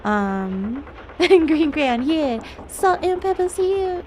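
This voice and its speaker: A woman's voice: high voice, very animated, very slow, a little mellow, a little hiss or hum. A giggly, chatty woman chatting casually and reacting as she streams a video game. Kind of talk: casual livestream chat and gameplay reactions. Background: game music.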